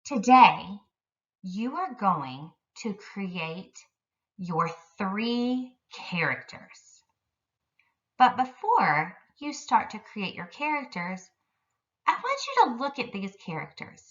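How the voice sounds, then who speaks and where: A woman's voice: medium pitch, big pitch swings, slow, recorded from a distance, muffled recording. A woman elementary school teacher giving an instructional lesson to young children in careful, simple phrasing.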